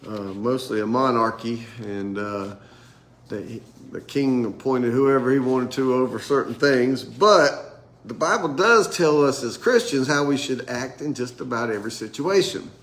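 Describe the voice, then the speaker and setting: A middle-aged American pastor leading a casual Bible study around a table, nasal and congested from a sinus infection, talking in a halting way with many restarts. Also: male voice, medium pitch, animated, fairly bright tone. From United States. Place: room with a group at a table.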